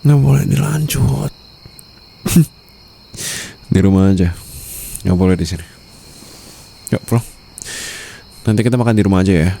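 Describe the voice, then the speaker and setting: Man playing a low, gravelly, tender mafia boss. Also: unhurried, long pauses, mellow. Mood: tender, teasing. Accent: Indonesian. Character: smitten young mafia boss, protective, teasing, tenderly possessive. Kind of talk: intimate ASMR boyfriend roleplay. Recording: faint night-time insect ambience noise.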